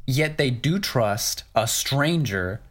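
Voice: medium pitch, animated, slow pace. A podcast co-host in casual chat, dropping wry, sarcastic quips.

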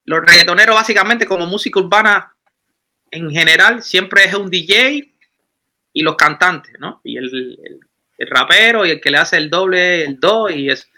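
Bright-voiced Cuban man telling his story. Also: high-pitched, normal speed. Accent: Cuban Spanish. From Cuba. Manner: animated, rambling with frequent restarts. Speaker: musician guest. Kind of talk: casual interview talk.

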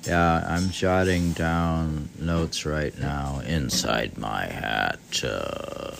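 An older man with a very low voice reads aloud and reflects on it as he goes. His delivery is slow and halting, musing to himself in rambling asides. There is faint noise behind him. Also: creaky. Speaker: podcast host reading aloud and commenting. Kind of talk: book reading with rambling, reflective personal commentary.

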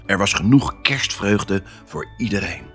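A male actor voicing an excitable pet dog who tells his own Christmas adventure in an expressive, theatrical family audio drama style. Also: lowish voice, measured pace, faint music behind. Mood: warm, joyful. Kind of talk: first-person storytelling in a family audio drama.

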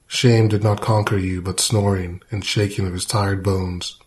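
A man's voice: low voice, little pitch movement, unhurried. A man reading a narrative poem aloud. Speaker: poetry reader. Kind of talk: poetry reading.

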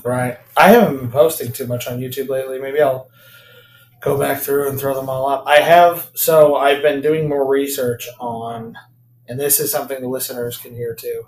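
A man's voice: medium pitch, steady tone, normal speed, darkish tone. A man co-hosting a casual podcast, talking in a rambling way with lots of fillers and frequent laughs. His voice is in the middle range and sits in a roomy, echoey space with a faint hum of ambience behind him. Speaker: podcast co-host. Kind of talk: casual chat.

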